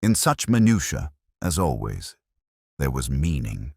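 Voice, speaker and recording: Very deep-voiced man narrating a mystery. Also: big pitch swings, creaky, darkish tone, breathy edge, no echo, clean studio sound. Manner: measured, formal, contemplative delivery. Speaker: narrator of a detective story. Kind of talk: first-person literary audiobook-style mystery narration.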